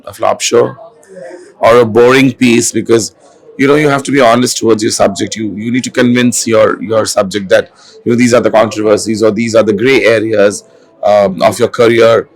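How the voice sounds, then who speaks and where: Hoarse man, low gravelly voice, lots of uhs and restarts, festival interview. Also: fairly even intonation, moderate pace, poor audio. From India. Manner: hesitant, many restarts and fillers. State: hoarse, has lost his voice. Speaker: author and film director. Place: literature festival venue. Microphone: handheld mic.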